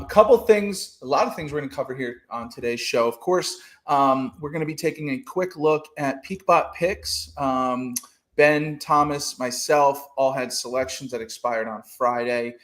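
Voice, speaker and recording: A man hosts a relaxed podcast about trading over a video call. His voice sits a little high for a man, and he rambles along with frequent fillers and restarts. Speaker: podcast host. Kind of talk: casual trading podcast talk.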